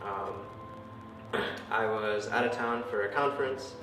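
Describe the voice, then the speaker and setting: A young man, a university student, giving a personal testimony in hesitant, measured phrases. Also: lowish voice. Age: young adult. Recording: echoey room, distant mic, music.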